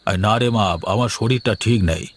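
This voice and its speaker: A man playing a gentle, henpecked village father in a fairy-tale cartoon, speaking in a mild, placating, weary way. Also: fairly low pitch, a little fast, a little hiss or hum, natural voice. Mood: weary tenderness.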